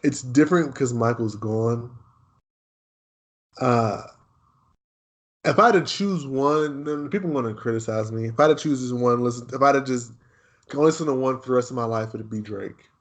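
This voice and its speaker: A man's voice: mid-range voice, normal speed, pauses often. A man in his twenties who grew up in Texas, co-hosting a casual podcast chat in a laid-back way, repeating himself and laughing easily. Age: 20s. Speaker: podcast co-host.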